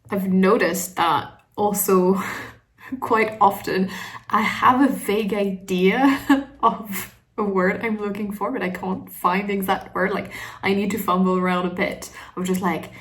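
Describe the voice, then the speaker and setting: A woman whose native language is French, talking casually and reflectively to her vlog, hesitant as she searches for words. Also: on the low side. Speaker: writing vlogger.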